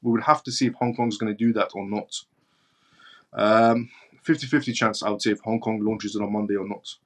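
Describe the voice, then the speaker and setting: A man's voice: fairly low pitch, fairly even intonation, fairly quick. A man from northern Iran with as his hosts a live stream, answering viewer chat bluntly and repeating his points for emphasis. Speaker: live-stream show host.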